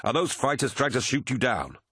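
A man's voice: medium pitch, very animated, a little fast, pushed and bright, husky, no room sound, studio quality, pitched above the performer's own voice, heavily acted. A man acting a wary, commanding warrior captain. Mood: alarmed, questioning. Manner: questioning, commanding. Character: startled, wary male warrior captain, disbelieving officer. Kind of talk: dramatic audiobook character reading.

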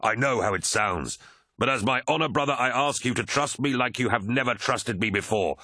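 A man voicing a desperate warrior pilot in an audiobook, shouting urgently as he pleads under fire. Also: low voice, steady tone, quick pace, slightly rough, no room sound, clean studio sound, exaggerated voice. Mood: frustrated, pleading. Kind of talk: dramatic audiobook character reading.

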